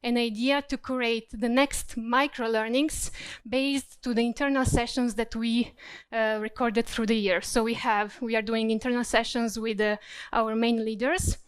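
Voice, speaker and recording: A woman presents at a business conference. Her voice sits a little high and sounds clear and smooth. It is recorded close and dry, with almost no room sound, and she explains things step by step, pausing to find her words. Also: no room sound. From Croatia. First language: Croatian. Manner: steady, explanatory, searching for words. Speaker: corporate learning specialist presenting.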